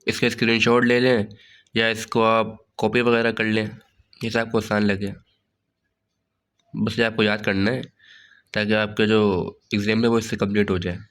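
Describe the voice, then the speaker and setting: A hoarse man with a sore throat walking students through a study guide in a relaxed way, often saying "theek hai". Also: lowish voice, moderate pace, pauses often. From Pakistan. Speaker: online tutor. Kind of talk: casual study-guide walkthrough.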